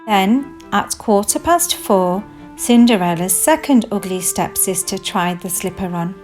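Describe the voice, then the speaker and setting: Female storyteller for a children's fairy tale, unhurried and expressive, mid-pitched, over music. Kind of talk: scripted children's storybook narration. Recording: music behind the voice.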